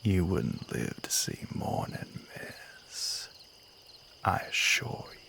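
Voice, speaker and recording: A man acting a slow, hushed Southern gentleman vampire. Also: deep voice, big pitch swings, slight background noise, exaggerated voice. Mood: calm warning. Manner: soft, breathy, often near whisper, unhurried, genteel. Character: courtly, lonely Southern gentleman vampire, softly menacing. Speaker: audio roleplay voice actor. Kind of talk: intimate ASMR audio roleplay.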